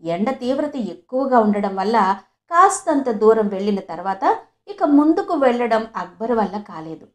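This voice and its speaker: A woman's voice: a little high, very animated, normal speed. A woman telling a moral story expressively, chatting directly to her listeners. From Andhra Pradesh, India. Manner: conversational, addresses listeners directly. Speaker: storyteller, channel host.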